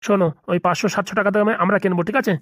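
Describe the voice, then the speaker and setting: A man's voice: high voice, flat delivery, rapid-fire, a bit bright, no echo, clean studio sound. A man voicing a blunt, self-important small-time gangster boss in a comedy cartoon, bossy and businesslike with a Bengali accent. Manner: bossy, businesslike, repeatedly seeking agreement.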